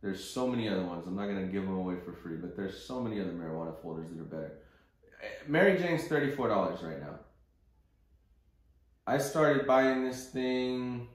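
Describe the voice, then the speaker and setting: Blunt man in a very echoey room. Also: on the low side. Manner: blunt, opinionated, coarse casual language. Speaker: host of an investing conference call. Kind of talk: informal market commentary, speaking off the cuff. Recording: very roomy, echoing sound.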